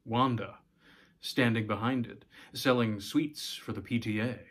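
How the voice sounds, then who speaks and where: A man's voice: mid-range voice, fairly even intonation, measured pace, a bit bright, slightly rough. A man in his forties reading a short story aloud as scripted narration. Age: 40s. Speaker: story reader, host. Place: small room at home.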